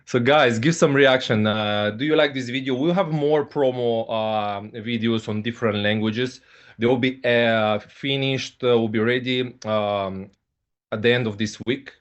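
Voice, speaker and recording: A man hosts a live online presentation, his English halting and full of filler sounds. His voice sits at an ordinary middle pitch. The sound is a dull, lo-fi video-call recording. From Bulgaria. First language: Russian. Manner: hesitant, frequent fillers, repeats "okay, guys". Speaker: host, company ambassador.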